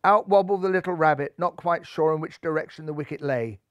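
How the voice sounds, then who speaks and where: High-voiced man telling a story theatrically. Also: a little fast, smooth, dry recording, dull audio. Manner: theatrical, suspenseful. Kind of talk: dramatic scripted storybook narration.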